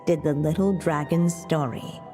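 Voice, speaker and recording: A woman voicing a gentle, solemn motherly witch telling a fairy tale in a video game, measured and tender, with reverb. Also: deep voice. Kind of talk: storybook narration in a video game. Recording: long cavernous reverb. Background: soft music.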